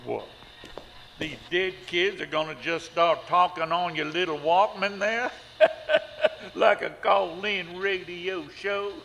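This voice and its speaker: A man playing a gruff, skeptical small-town sheriff in an audio horror drama, talking in a folksy, sarcastic, mocking way. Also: high voice, slow pace, a bit bright, long reverb tail, slight background noise, exaggerated voice, rough recording.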